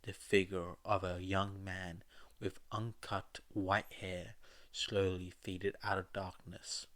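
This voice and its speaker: A man's voice: sits low, little pitch movement, slow, fairly bright tone. A man reading scripted audiobook narration of a fan fiction story.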